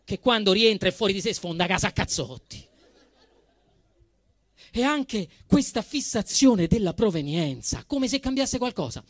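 A man's voice: high voice, animated, moderate pace, no echo, clean studio sound. A male actor with a Roman Italian accent delivers a theatrical satirical monologue, telling it in an emphatic, indignant, mock-solemn manner with dramatic swings. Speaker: actor performing a comic monologue.

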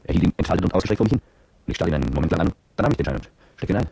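Low, gravelly man's voice, that of a cowed petrol station attendant in a radio play. He confesses at a very quick pace, brooding and bitter, with an old soldier's fear underneath. The sound is close and dry. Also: animated, dark tone, dry recording, full character voice. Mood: tense recollection. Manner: brooding, confessional first-person narration. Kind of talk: German radio drama monologue.

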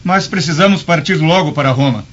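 Man acting a polite, higher-voiced messenger. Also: brisk, background noise, lightly acted. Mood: respectful, reassuring. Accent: Brazilian. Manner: respectful. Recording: muffled, loud music behind.

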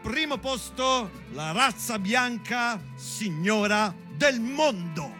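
A man's voice: high-pitched, animated, slow pace, pushed and bright, faint music behind. A man performing a solo stage monologue, moving between recited lists and ironic conversational asides with rhetorical questions.

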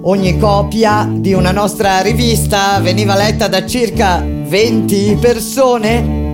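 A woman playing a grave resistance fighter, narrating a theatrical monologue in a solemn, deliberate and emphatic way. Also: medium pitch, fairly even intonation, lightly acted. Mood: grave, intense. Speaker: stage actress narrator. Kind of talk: dramatic theatrical monologue over live music. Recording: loud live music under the voice. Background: guitar and instrumental music.

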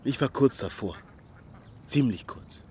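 A man's voice: mid-range voice, expressive, measured pace, a little mellow, slight background noise, close to an everyday voice. An actor playing a proud, hunted young migrant man from Ghana in a radio drama, speaking in short clipped sentences. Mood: guarded, proud.

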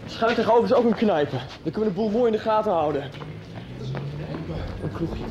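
A bold, brisk young man, played by an actor in a radio play. His voice sits slightly high and moves a lot. Clear street noise is heard behind him. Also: expressive, lo-fi sound. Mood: urgent.

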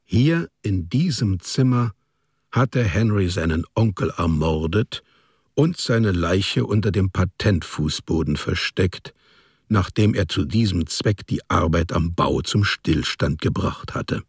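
A man's voice: low voice, animated, takes it slowly, breathy edge, no room sound, clean studio sound. A man reading literary narration as the storyteller of a radio drama.

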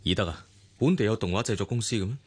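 An actor with a Hong Kong Cantonese accent playing a calm, weary, wealthy middle-aged boss in a radio drama, speaking in a measured, dry way. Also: male voice, fairly low pitch, breathy edge.

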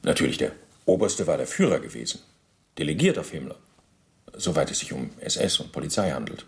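An actor playing an evasive, indignant former SS officer under interrogation in a radio play, halting, emphatic and formally deferential as he justifies himself. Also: very deep voice, sing-song, exaggerated voice. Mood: formal, defensive. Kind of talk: docudrama radio play dialogue.

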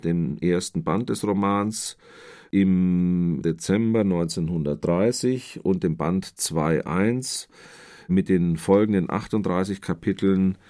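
Low-voiced scholarly man explaining. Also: darkish tone. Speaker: literary scholar commenting. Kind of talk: explanatory expert commentary.

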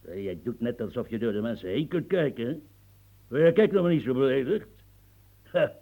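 An elderly marquis with a creaky, rough-edged voice in a radio play. He rambles and grumbles like an old campaigner. His sentences stammer, repeat and drift off into musing. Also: on the high side, a little mellow. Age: older. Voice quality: gravelly, husky. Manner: stammering, repetitive, musing, earthy, prods with "huh". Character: rambling, grumbling old French marquis, retired military officer.

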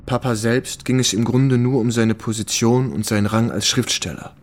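A man's voice: mid-range voice, fairly even intonation, normal speed, close to an everyday voice. Man playing a weary, reflective war reporter. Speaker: actor in a radio drama. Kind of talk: German radio drama dialogue, reminiscing.

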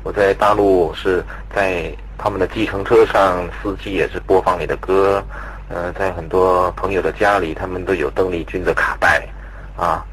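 A man's voice: low voice, steady tone, normal speed, fairly bright tone, slight background noise, low-quality recording. A man conducting a conversational radio-style interview, putting long, polite questions.

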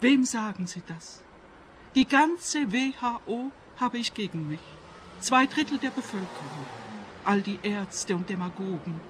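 A woman's voice: mid-range voice, expressive, measured pace, slight background noise, lightly acted. An actress playing an older woman scientist in a radio play, anxious, obsessive and stubbornly defensive, speaking plaintively. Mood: defiant, plaintive.

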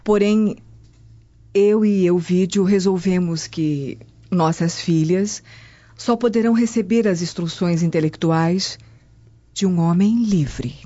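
A woman's voice: lowish voice, slow pace, dark tone, lo-fi sound. A woman playing a dignified, cautious noble Roman matron in a radio drama. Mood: solemn, authoritative.

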